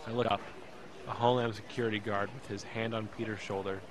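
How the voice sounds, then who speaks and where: A man playing a frightened young man, narrating a tense ordeal in first person in an audio drama, halting and intense. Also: lowish voice, little pitch movement, close to an everyday voice. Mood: tense dread. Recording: busy airport crowd behind.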